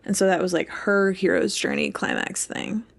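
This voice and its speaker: A woman's voice: mid-range voice, steady tone, moderate pace, creaky. A young woman co-hosting a podcast, talking casually and informally about books, with "like" sprinkled through her speech. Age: young adult. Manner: informal, heavy use of "like". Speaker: podcast co-host. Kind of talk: casual book discussion chat.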